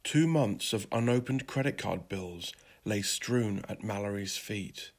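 A man's voice: on the low side, unhurried. A man reading scripted literary fiction as a narrator.

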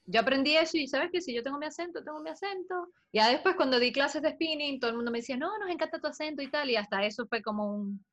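A woman's voice: high-pitched, animated, quick pace, hardly any pauses, a bit bright, highs cut off. A woman speaking expressively in a casual chat. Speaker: spin instructor.

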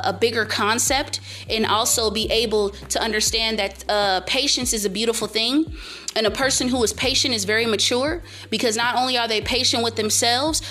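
Loud emphatic young woman, high voice. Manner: emphatic, preachy, repeats herself, speaks up loudly. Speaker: vlogger giving life advice. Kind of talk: casual motivational monologue to camera.

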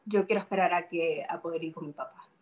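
A young woman from Spain talks in a relaxed interview. She often stops to gather her thoughts and repeats words before she finishes. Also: lowish voice, fast talker, lo-fi sound. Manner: hesitant, repeats words while thinking. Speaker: interview guest. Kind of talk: casual interview conversation.

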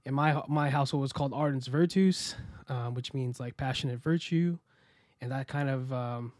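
A young American man telling his story as a podcast guest, relaxed and rambling with many fillers and restarts. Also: mid-range voice, moderate pace, dry recording, clean studio sound. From United States. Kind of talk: casual interview chat.

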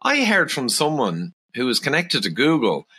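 A middle-aged Irish man speaks calmly and with measure, but his pitch moves a lot and his tone is bright and forceful. He sounds reasonable and sensible throughout. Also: moderate pace, husky. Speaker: podcast guest. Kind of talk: casual podcast conversation.

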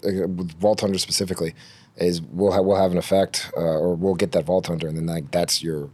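Male guest, effects artist, very deep voice, a little husky, easygoing. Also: flat delivery, brisk, a little mellow. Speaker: game visual effects artist. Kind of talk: casual podcast chat.

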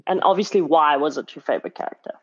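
A woman's voice: sits low, fast, slightly rough, lo-fi sound. A woman hosting a live online panel about role-playing games, introducing guests and reading questions with enthusiasm. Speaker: panel host.

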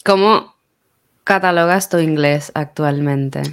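A woman with a Puerto Rican accent speaks in a fairly low, smooth and resonant voice. She interviews her guest with chatty, probing questions. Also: slow pace. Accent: Puerto Rican Spanish. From Puerto Rico. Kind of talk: conversational interview.

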